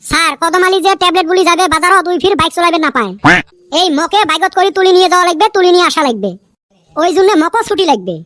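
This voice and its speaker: A cheeky cartoon village schoolboy with an extremely high, piercing voice. The tone is bright and sharp, wheedling with mock innocence in a Rajbongshi accent. The sound is close and dry. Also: female voice, expressive, fast talker, dry recording. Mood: argumentative. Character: cheeky, scheming village schoolboy pupils, high-pitched and childlike. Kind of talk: Bengali comedy cartoon dubbing.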